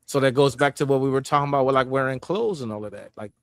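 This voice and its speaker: A man's voice: mid-range voice, fast, clean tone, no echo. A man hosting a casual panel talk, reasoning aloud and checking that others follow him. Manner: conversational, reasoning aloud, seeks agreement. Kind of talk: casual debate.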